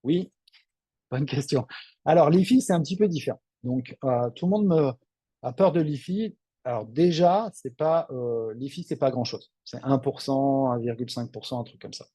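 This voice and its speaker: Male, webinar adviser fielding questions off the cuff; relaxed, slightly dark tone, dry close sound. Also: mid-range voice, moderate pace, breathy edge, no echo. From Lille, northern France. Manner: off-the-cuff, conversational, frank. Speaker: financial adviser hosting a webinar. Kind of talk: informal question-and-answer session, reading out and answering questions.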